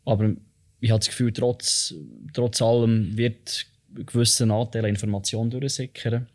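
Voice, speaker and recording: A man interviewing a guest, asking measured questions while searching for words. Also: mid-range voice, little pitch movement, darkish tone.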